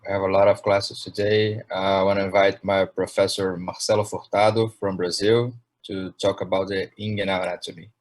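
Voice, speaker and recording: A man's voice: sits low, level tone, fairly quick, low-quality recording. A man hosting an online lecture series, thanking a speaker and introducing the next, heard a little away from the microphone. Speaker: host.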